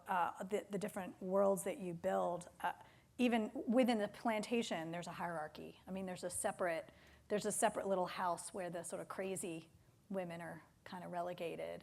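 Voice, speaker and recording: A woman's voice: lowish voice, gravelly, a little mellow. A woman interviewing on stage in a literary conversation, tentative and searching as she rephrases her questions. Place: theater stage.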